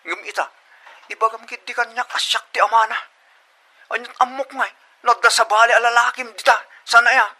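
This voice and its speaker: A man's voice: extremely high pitch, steady tone, brisk, pushed and bright, heavily acted. A man playing an evasive, cold Filipino boyfriend in a drama, firm and dismissive. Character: evasive, cold boyfriend Jerry, breaking off romance. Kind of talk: Ilocano comedy radio drama acting.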